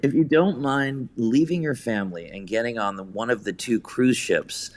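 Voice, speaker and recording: A man's voice: mid-range voice, normal speed. A man being interviewed, telling his story in long, earnest, reflective and conversational answers. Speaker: interview guest, theater director and writer.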